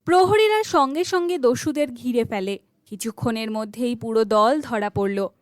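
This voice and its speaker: A woman's voice: on the high side, moderate pace, no echo, clean studio sound. A woman narrating a scripted children's folk tale.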